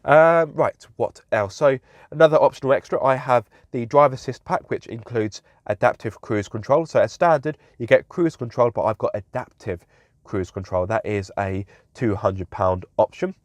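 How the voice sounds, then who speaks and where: A man's voice: medium pitch, normal speed, dry recording. A young man with a blocked nose, sounding slightly nasal, giving a chatty car review from inside the vehicle and often correcting himself. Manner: chatty, self-correcting with fillers. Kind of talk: casual car walkaround review.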